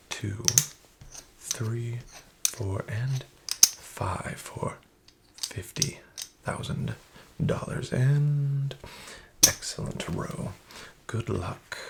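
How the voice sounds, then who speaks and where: A man in a soft-spoken roleplay as a smooth, flattering casino dealer, often whispering and slyly nudging the listener to bet more. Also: on the low side, very noisy. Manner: whispery, with much unvoiced soft speech. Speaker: ASMR roleplay creator playing a casino dealer.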